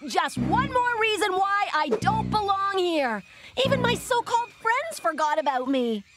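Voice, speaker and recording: A woman's voice: very high voice, big pitch swings, measured pace, full character voice. An actress playing an anxious, self-pitying young woman scientist in a cartoon, with a dramatic, exasperated delivery. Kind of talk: exaggerated cartoon voice acting. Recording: loud music underneath.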